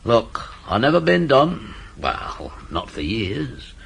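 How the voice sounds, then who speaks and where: Wily male petty crook: British working-class, chatty, wheedling, hugely varied pitch. Radio play, faint background noise. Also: sing-song, slow pace, creaky, a bit bright, exaggerated voice. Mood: boastful, confiding. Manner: chatty, wheedling, repeats himself. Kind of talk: 1980s British radio drama acting.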